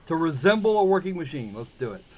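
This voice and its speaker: A man's voice: on the high side, very animated, fast, a little mellow, slight background noise. A man streaming a visual novel, chatting casually and sarcastically, often restarting his sentences. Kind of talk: casual livestream commentary. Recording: dull lo-fi stream mic with game music behind. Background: faint game music.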